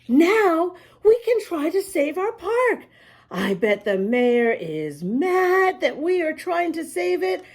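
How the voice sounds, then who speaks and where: A woman's voice: very high voice, very animated, slow, darkish tone, voice pushed up, full character voice. A woman voicing an earnest, determined little boy in a storybook who explains things with childlike confidence. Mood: eager.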